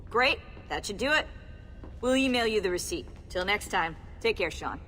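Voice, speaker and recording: A woman playing a brisk, wry and friendly courier in a video game scene. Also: medium pitch, animated, sharp tone. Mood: teasing, businesslike. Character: brisk, teasing female courier. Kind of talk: video game cutscene acting. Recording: long reverberant hall, faint noise.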